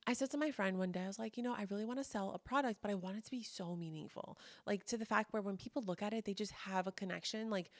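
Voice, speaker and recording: A woman's voice: medium pitch, no room sound. Woman in her 30s rambling casually, lots of "like", dry close sound. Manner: chatty, lots of "like" fillers, animated quoting. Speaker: podcast host and coach. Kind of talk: casual storytelling monologue.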